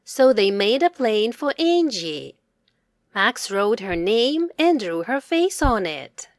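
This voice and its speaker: A woman's voice: on the high side, sing-song, slow pace, no room sound, studio quality. A woman reading a children's story aloud in simple, separated phrases with a teacherly manner.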